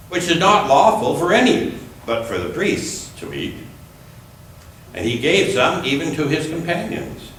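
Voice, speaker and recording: Echoey distant recording, man, church scripture reader, slow deliberate phrasing, expressive rise and fall. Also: mid-range voice. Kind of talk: scripture reading aloud. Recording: echoey room, distant mic, faint noise.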